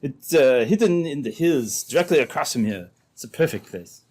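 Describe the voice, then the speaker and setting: A man's voice: mid-range voice, expressive, moderate pace, a little mellow, lightly acted. A man playing a scheming, nervous uncle in an old-time radio drama, hesitant and placating as he reasons. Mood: placating, calculating. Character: scheming, nervous embezzler uncle, cornered but calculating.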